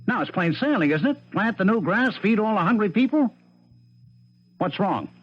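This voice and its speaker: An actor playing a blunt, streetwise police lieutenant in 1970s radio drama, skeptical but sympathetic, with clipped, emphatic phrases. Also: male voice, high voice, very animated, normal speed. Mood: brisk, probing. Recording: dull 1970s radio broadcast recording.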